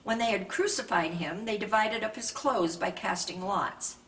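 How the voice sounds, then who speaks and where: A woman reading a Bible narrative aloud from a script in a measured, deliberate way, as a scripture reader. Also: mid-range voice, a bit bright. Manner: measured, raises voice for quoted speech. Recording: distant microphone with faint background noise.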